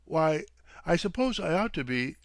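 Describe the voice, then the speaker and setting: A man voicing a polite but irritable young American gentleman in an audiobook, formal, flustered and curt. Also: on the high side, very animated, normal speed, no echo, pitched above the performer's own voice. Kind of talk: audiobook character voice.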